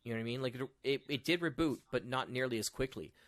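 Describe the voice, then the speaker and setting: Male podcast co-host, middle-aged, sharp bright tone, mid pitch, argumentative casual talker. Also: fast. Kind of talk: animated casual panel discussion.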